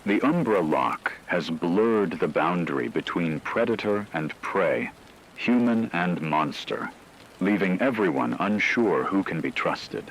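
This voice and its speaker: A man reading a scripted emergency radio broadcast in a solemn, ominous, measured way. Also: sits low, expressive, slight background noise, poor audio. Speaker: radio announcer.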